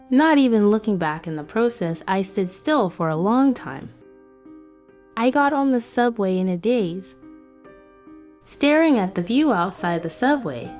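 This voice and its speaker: A woman's voice: mid-range voice, a little mellow, lightly acted. A woman voicing a heartbroken young office worker who narrates her breakup in a romance comic dub. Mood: dazed, sad. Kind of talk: scripted first-person comic dub narration. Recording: music behind the voice.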